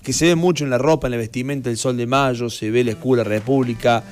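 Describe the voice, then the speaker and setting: A man's voice: mid-range voice, fairly even intonation, fast talker, faint music behind. A man with an Argentine accent co-hosting a radio show, agreeable and chiming in casually. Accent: Argentine Spanish. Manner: agreeable, chiming in with short replies. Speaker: radio co-host.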